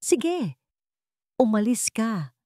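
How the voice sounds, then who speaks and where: A woman's voice: on the high side, sing-song, drawn-out words, warm tone, dry recording, clean studio sound, voice pushed up, heavily acted. A Filipino woman voicing an arrogant, bullying husband in an audio drama story, with a scornful, blustering manner. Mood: bitter, shouting.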